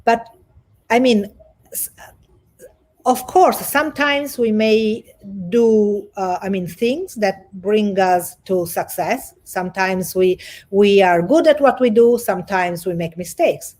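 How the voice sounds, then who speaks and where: A woman with an Italian accent, a guest coach speaking over a video call in an earnest, halting way with many restarts. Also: medium pitch, a little mellow. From Italy. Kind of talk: livestream interview.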